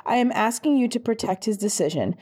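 A woman voicing a poised middle-aged female agent who speaks in a measured, formal way. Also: on the high side, animated, dark tone, husky, pitched above the performer's own voice.

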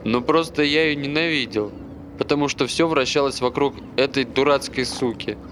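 A man's voice: medium pitch, normal speed, fairly bright tone, a little hiss or hum, lightly acted. An actor playing a bitter, aggrieved man who hates dogs, grumbling conversationally in a naturalistic stage play.

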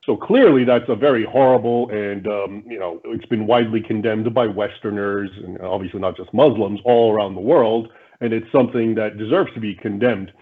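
A male guest author answering interview questions in a measured, explanatory way, with frequent fillers over a remote connection. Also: on the low side, husky. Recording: tinny phone-like line.

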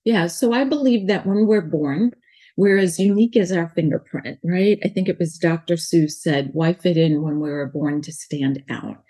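A woman's voice: on the low side, moderate pace, warm tone, husky. A woman in her forties with a New York accent, talking earnestly in an interview as a life coach, rambling and restarting her sentences. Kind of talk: casual interview talk.

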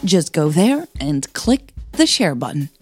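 A woman podcast host reading scripted fiction as narrator, in a measured storytelling manner. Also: sits low, very animated, music in the background.

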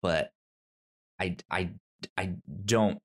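A man's voice: low-pitched, extremely slow. A man co-hosting a movie podcast, talking in a hesitant way, trailing off and restarting his sentences. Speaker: podcast co-host. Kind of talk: casual movie review chat.

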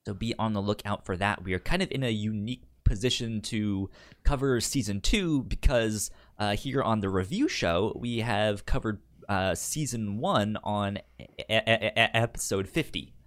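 A man hosting a podcast in casual review chat, speaking haltingly with stammered, repeated syllables. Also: fairly low pitch. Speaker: podcast host.

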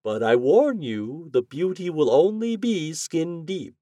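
A man voicing a fretful, bargaining storybook wizard with a drawn-out, emphatic delivery. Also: a little high, sing-song, mellow, no echo, voice pushed up. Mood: cautious bargaining.

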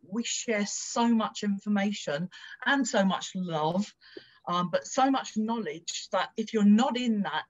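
A woman's voice: medium pitch, highs cut off. A woman with an Irish accent, a guest speaking in a casual, encouraging interview chat. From Ireland.

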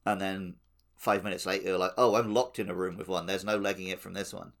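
Male podcast co-host, lowish creaky voice, informal conversational game talk. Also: steady tone, fast talker, a bit bright. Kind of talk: casual video game discussion.

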